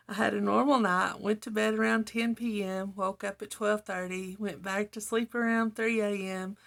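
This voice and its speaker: A middle-aged woman with a slightly hoarse voice, chatting casually to viewers as a craft channel host in a homely, rambling way. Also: mid-range voice, a bit bright. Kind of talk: casual chat to viewers while journaling, partly reading own notes.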